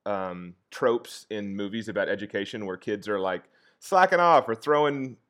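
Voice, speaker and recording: A man's voice: fairly low pitch, lively intonation, normal speed, fairly bright tone. A man who teaches history, speaking as a podcast guest in an earnest, reflective way with a soothing voice. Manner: earnest and reflective, many restarts and fillers. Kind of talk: casual interview conversation.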